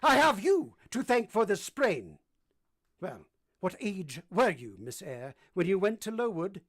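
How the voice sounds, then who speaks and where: An actor playing an imperious, blunt middle-aged English master of the house in a vintage-style radio drama. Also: male voice, sits high, sing-song, measured pace, a bit bright, heavily acted. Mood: stern, probing.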